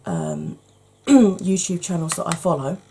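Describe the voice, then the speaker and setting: A woman, hoarse from hay fever, chatting through a makeup tutorial step by step and speaking straight to her viewers, with frequent ums. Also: lowish voice, wildly swooping pitch, a little slow, dark tone, a little hiss or hum.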